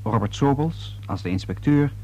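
A man reading a scripted radio drama announcement in a formal, measured manner. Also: mid-range voice, animated, mellow, breathy edge.

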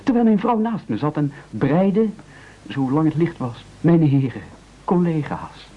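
A dull old radio recording of a timid, self-pitying family man. His highish voice swings widely as he makes hesitant, theatrical pleas in a satirical radio play. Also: lively intonation, measured pace. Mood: pleading, sentimental. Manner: pleading, oratorical. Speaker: radio drama actor.

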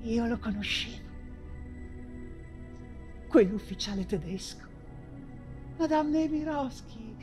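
An actress voicing a cold, courteous male German officer with a soft, measured, insinuating manner, in a stage monologue. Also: a little high.

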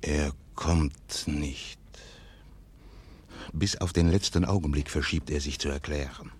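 Very deep, slow, stern aristocratic commander. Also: male voice, steady tone, a little mellow, breathy edge, full character voice. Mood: brooding, grave. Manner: grave, measured, declamatory. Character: stern, calculating, commanding aristocratic father and military governor. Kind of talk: 1940s German radio play acting.

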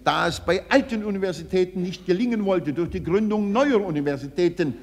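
A man's voice: sits high, a little fast. An older man giving an emphatic, oratorical speech with rhetorical tags and repetitions. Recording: archive recording with long hall reverb.

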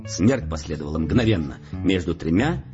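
A low-pitched male police investigator in an audio drama, officious and insinuating. He speaks with mock courtesy and deliberate menace, his intonation swinging widely. Music sits faintly behind the slightly dull recording. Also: animated. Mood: officious, methodical. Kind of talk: Russian audio play acting. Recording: dull-sounding audio play with background music.

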